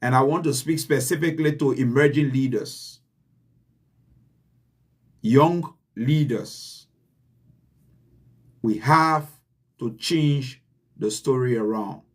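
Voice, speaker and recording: A man with a Liberian accent delivers a motivational message in an unhurried, deliberate way. His voice sits at an ordinary middle pitch. He speaks earnestly and repeats key lines to drive them home. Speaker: channel host. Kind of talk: motivational address.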